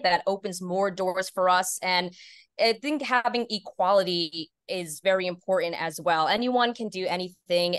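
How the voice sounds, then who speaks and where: A woman's voice: fairly low pitch, fairly bright tone, no echo. A woman speaking as an interview guest, giving earnest, upbeat answers in a conversational way. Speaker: podcast guest, PA announcer and voice actor.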